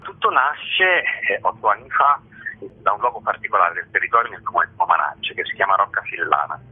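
Male interview guest, very deep and slightly husky, hesitant explainer, heard over a narrow phone-like connection. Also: expressive, brisk. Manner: hesitant, with frequent filler words and repetitions. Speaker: festival artistic director, interview guest. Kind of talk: spoken interview, explaining an event. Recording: muffled narrow-band radio phone line.

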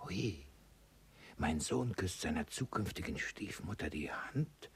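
A man's voice: sits low, lively intonation, slow, slightly rough, full character voice. An actor playing a cunning, suspicious old miser and father in a classic comedy radio play, probing and repeating little words. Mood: sly, probing.

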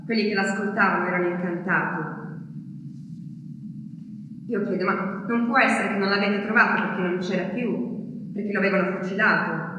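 An actress playing an anguished wartime diarist waiting for her husband, delivering an intense, halting theatrical monologue with insistent repetitions. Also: mid-range voice, steady tone, sharp tone, dull audio, lightly acted. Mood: urgent, insistent. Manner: intense, halting, with repeated words and insistent questions. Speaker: stage actress performing a monologue. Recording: echoey theatre hall, distant mic, music behind.

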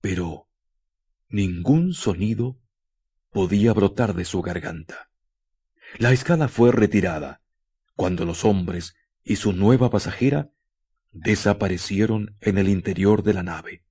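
A man narrating a scripted radio drama in a solemn, deliberate way, with dramatic pauses. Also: lowish voice, dark tone, slightly rough.

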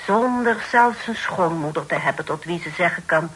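Husky, preachy, pious old aunt. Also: female voice, on the low side, expressive, normal speed, a bit bright, low-quality recording. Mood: stern, probing. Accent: Netherlands Dutch. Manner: sanctimonious, declaiming in biblical phrases. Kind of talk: Dutch radio drama acting.

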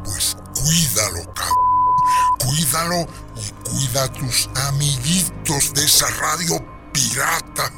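An actor playing a menacing caller who makes slow, sneering threats in a radio drama. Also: male voice, medium pitch, creaky, loud music behind the voice. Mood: threatening.

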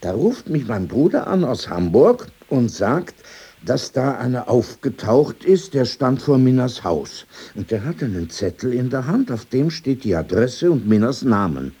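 A man's voice: medium pitch, very animated, mellow, breathy edge, full character voice. An actor playing a courteous, opinionated old man in a radio play, telling anecdotes insistently with many asides. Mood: insistent, wry. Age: older. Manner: polite, insistent, drawn-out storytelling with asides.

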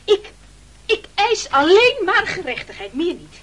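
An actor playing a timid, stubborn, self-pitying castaway who stammers and protests in a radio play. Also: male voice, very high-pitched, big pitch swings, measured pace, bright, forceful tone, full character voice. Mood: plaintive, pleading. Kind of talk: theatrical radio play acting.

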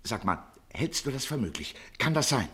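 An actor in a German radio play playing a frantic, bewildered station director who blusters and stammers. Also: male voice, medium pitch, big pitch swings, normal speed, bright, forceful tone. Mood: insistent, baffled.

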